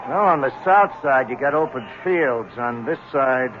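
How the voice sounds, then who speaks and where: A man's voice: medium pitch, expressive, normal speed, soft background music, lightly acted. An actor playing a breezy, sardonic patrolman in a 1970s radio mystery, speaking in a teasing, offhand way. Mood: offhand, unbothered. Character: breezy, sardonic male patrolman, casually indifferent. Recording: tinny, noisy old radio broadcast.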